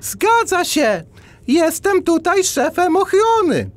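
A woman playing an excitable, agitated male ostrich who runs security in a children's audio drama. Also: very high-pitched, slow pace, heavily acted. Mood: eager.

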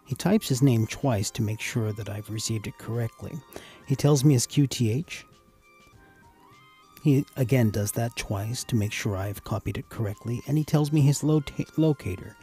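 A man with a gravelly, husky voice giving a casual, explanatory tutorial voice-over as the host of a hobby channel. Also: medium pitch, dark tone. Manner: casual, addresses viewers as guys.

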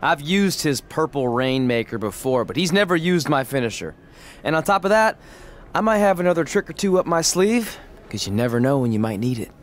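A cocky young wrestler, voiced by a man in a video game, sure of himself. His voice is fairly high with a lively swing. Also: fairly quick, lightly acted. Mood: confident. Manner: cocky, assured. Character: confident young male wrestler.

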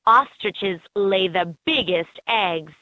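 A woman's voice: mid-range voice, animated, takes it slowly, dry recording. A woman reading scripted narration as the guide in a children's learning game, recorded as lo-fi toy audio. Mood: instructive.

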